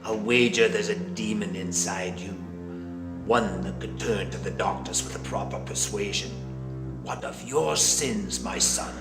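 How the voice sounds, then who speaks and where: Low-voiced, sinister priest. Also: male voice, measured pace. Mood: taunting, solemn. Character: sinister, cunning male priest, coolly provocative. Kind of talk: dramatic film dialogue. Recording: roomy echo under loud music.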